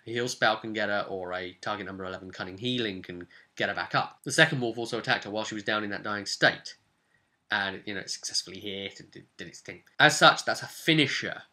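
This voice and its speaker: A man speaking casually as a game master, recounting a tabletop campaign in an animated, explanatory way straight to the listener. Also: medium pitch. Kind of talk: casual storytelling to camera.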